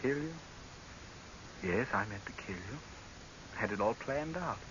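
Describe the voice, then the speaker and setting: An actor playing a resigned, bitter doctor turned would-be assassin, in a terse, dramatic 1930s radio acting style. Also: male voice, on the low side, animated, a little slow, a bit bright. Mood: grim confession. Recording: muffled, hissy 1930s radio recording.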